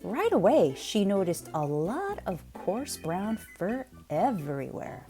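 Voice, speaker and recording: A woman storyteller reads a picture book with very lively, swooping pitch. She takes her time, playfully stretching key words, with music playing clearly behind her. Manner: playful, expressive, drawn-out emphasis on words. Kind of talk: animated children's read-aloud narration.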